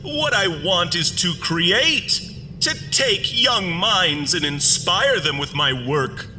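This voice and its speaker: A man's voice: high-pitched, lively intonation, slow, sharp tone, in a large hall, soft background music, heavily acted. A man playing a pompous, florid alien monster who fancies himself a great playwright, in an audio drama. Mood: grandiose, showy. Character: theatrical alien monster, pompous self-styled thespian playwright.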